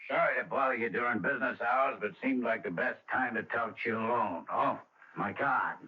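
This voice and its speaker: A man's voice: medium pitch, brisk, lightly acted. A man acting in a radio drama as a smug, dogged insurance detective with slangy, insinuating tough talk. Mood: businesslike, sly. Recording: muffled old radio recording.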